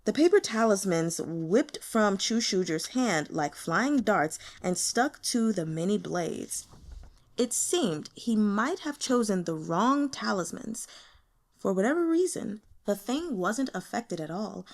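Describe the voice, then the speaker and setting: A woman narrates fiction in an expressive audiobook style. Her pitch is lively and varied as she reads. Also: slow pace. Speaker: audiobook reader.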